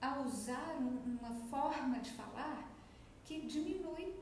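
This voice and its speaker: A woman's voice: sits high, takes it slowly, a little mellow. A woman with a Minas Gerais accent, a speech therapist explaining her work as an interview guest, in a didactic way. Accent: Minas Gerais Brazilian Portuguese. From Brazil, Minas Gerais. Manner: didactic, acts out quoted remarks. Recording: very echoey room, faint noise.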